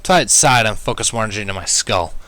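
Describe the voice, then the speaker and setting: Casting: male storyteller, lively varied pitch, bright tone, expressive first-person narration. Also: quick pace, dry recording. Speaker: fan fiction reader.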